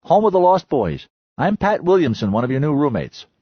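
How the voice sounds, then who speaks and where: A man voicing an eager, inquisitive ship historian, talking well above his own pitch. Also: big pitch swings, fairly quick, slightly rough, no echo, dull audio.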